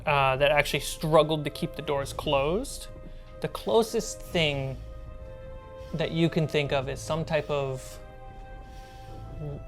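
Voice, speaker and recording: A woman narrating a tabletop role-playing game as game master, describing scenes haltingly and with suspense, with music behind her. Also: deep voice.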